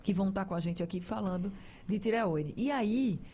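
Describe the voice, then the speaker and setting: A woman's voice: mid-range voice, normal speed, dark tone. A woman hosting a radio health talk show, explaining things to listeners in a chatty, conversational way.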